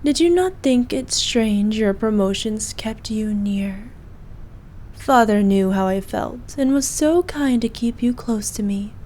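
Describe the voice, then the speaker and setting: A woman playing a regal, possessive evil queen who dotes on her knight in an intimate ASMR roleplay, speaking formally and softly, at times in breathy whispers. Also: on the high side, animated. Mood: tender, possessive. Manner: regal, slow, archaic formal speech.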